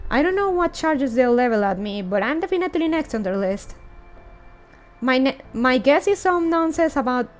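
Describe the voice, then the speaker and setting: A woman's voice: high voice, animated, normal speed, faint music behind. A woman reading the lines of a wry, blunt male schemer from a fantasy game in a conversational way. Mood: grim reasoning.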